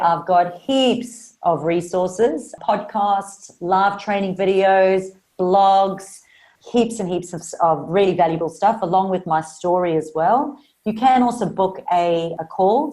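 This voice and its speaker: A woman with a South African Australian accent talks as a podcast guest about marketing. Her voice sits a little low, and she speaks in an earnest, upbeat, encouraging way. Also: mellow. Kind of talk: podcast interview.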